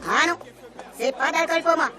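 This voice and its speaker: A woman's voice: extremely high pitch, normal speed, bright, forceful tone, some chatter behind. A woman with a Réunion Creole accent playing a polite, eager alien hosting humans in a comic radio serial. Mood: welcoming.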